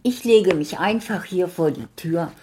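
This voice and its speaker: Woman reading a soft lonely young wolf, low and slow, friendly. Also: slight background noise, lightly acted. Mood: gentle.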